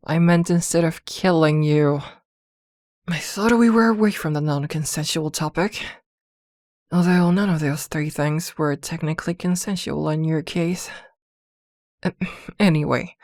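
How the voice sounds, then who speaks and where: Woman voicing a slow, low-toned possessive witch girlfriend. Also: darkish tone, no echo, studio quality. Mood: dismayed, wry. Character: possessive, protective witch girlfriend, doting yet jealous. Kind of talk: ASMR girlfriend roleplay audio drama.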